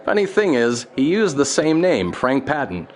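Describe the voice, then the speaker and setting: An actor playing a brash, streetwise private detective in a radio drama, with breezy, slangy talk. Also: male voice, on the high side, big pitch swings, normal speed. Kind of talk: 1930s-style radio drama acting.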